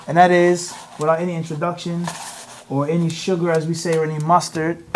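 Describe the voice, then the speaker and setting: A man with a slightly high voice teaches his class, teasing his students and sprinkling his talk with Arabic phrases. Faint noise runs under the recording.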